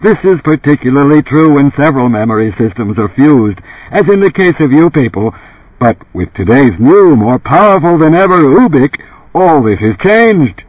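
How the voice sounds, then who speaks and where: A male narrator puts on a brash older tycoon selling on television in an audiobook. His voice is gravelly and emphatic, swinging widely in pitch and lifted well up for the sales pitch. The sound is dry and thin, like a radio. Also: lively intonation, moderate pace, no room sound, phone-quality sound. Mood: smooth hard sell. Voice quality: gravelly, dark, resonant. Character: dead older tycoon as booming, blunt TV pitchman.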